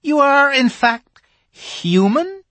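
A reader voicing an excitable, polite male fawn in an audiobook, formal and flustered. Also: sits high, slow pace, no echo, pitched above the performer's own voice, heavily acted. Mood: flustered, delighted. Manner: flustered, formal, sing-song. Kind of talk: audiobook reading.

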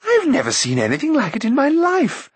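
Brief: man playing a high, excitable young prince, easily amazed, gushing, husky edge. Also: normal speed, no room sound, heavily acted.

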